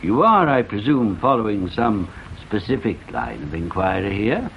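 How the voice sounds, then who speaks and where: A man's voice: lowish voice, big pitch swings, normal speed, gravelly. A man playing a wry, wordy English provincial shopkeeper in an old-time radio drama, with an arch and elaborately formal manner. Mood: arch, teasing. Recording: muffled, faintly noisy old radio recording.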